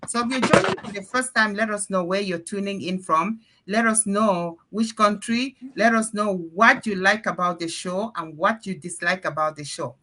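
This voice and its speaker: A woman from Cameroon hosts a live online panel with a bright, forward voice. She chats casually and keeps the energy up. Her tone is upbeat and talkative. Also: medium pitch, moderate pace, hardly any pauses, slight background noise. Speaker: host of a live panel show. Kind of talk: casual live-stream chat.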